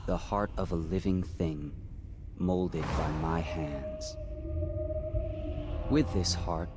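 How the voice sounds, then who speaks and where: An actor playing a cryptic, aloof supernatural being in a video game, speaking in a solemn, detached way. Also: male voice, deep voice, takes it slowly, over loud music. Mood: cool, portentous. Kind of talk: video game character acting.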